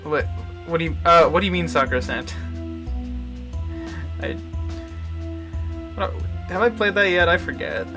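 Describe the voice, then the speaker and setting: A man's voice: fairly high pitch, animated, a little slow, pauses often, music in the background. A man giving casual, rambling commentary as he hosts a visual novel livestream, often muttering to himself. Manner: mutters and hums filler syllables to himself.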